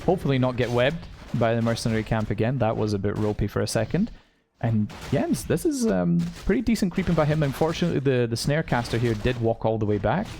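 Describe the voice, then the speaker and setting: A man with a mix of British and American English, calmly analyzing a live esports match and laughing easily. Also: mid-range voice, fast talker, vocal fry, few pauses, a little hiss or hum. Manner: easygoing, laughs often, analytical. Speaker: esports co-caster. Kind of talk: live game commentary.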